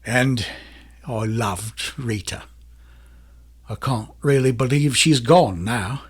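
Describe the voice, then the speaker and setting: Cocky, unhinged London defendant. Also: male voice, medium pitch, slow pace, husky. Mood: wistful. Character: cocky, chummy, unhinged London man on trial for murder. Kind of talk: radio drama monologue.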